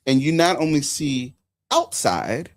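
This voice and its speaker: Man, 40, podcast host, casual talk, lively swinging pitch, storytelling delivery. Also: a little slow. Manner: animated, storytelling, swings between loud and hushed. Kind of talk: casual political chat.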